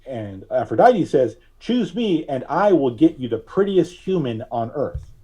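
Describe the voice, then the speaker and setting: A man being interviewed as a filmmaker, telling stories in an explanatory, conversational way with drawn-out pauses. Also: medium pitch, very animated, mellow, husky, low-quality recording. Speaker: guest, filmmaker.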